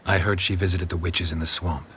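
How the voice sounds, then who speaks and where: A stoic monster hunter from a fantasy game, weary and terse. The voice is deep and heavily rasping, and the delivery is deadpan. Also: male voice, fairly quick, exaggerated voice. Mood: even, guarded. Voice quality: gravelly, husky. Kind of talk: video game voice acting.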